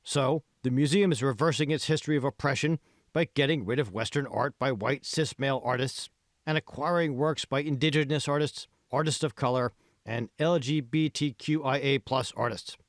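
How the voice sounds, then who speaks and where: A man's voice: mid-range voice, normal speed, no echo, clean studio sound, pitched above the performer's own voice, close to an everyday voice. Smug, self-assured billionaire read by a man. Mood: smooth, self-assured. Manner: assured, explanatory, pressing. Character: self-assured male tech billionaire, smug patron of art. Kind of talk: audiobook dialogue.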